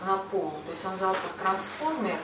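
A woman taking part in a group session, casually describing impressions in halting, pieced-together phrases, on an echoey, distant recording. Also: mid-range voice, lively intonation. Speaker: participant describing what they perceive. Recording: very echoey, distant mic, clear background noise.

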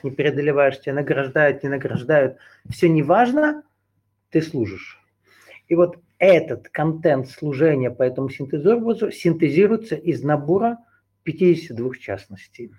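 Male teacher, slightly high voice, improvised lecture that loops and rephrases with fillers. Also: darkish tone. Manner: discursive, circles back and rephrases, hesitant fillers. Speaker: teacher leading a group session. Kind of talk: unscripted esoteric lecture.

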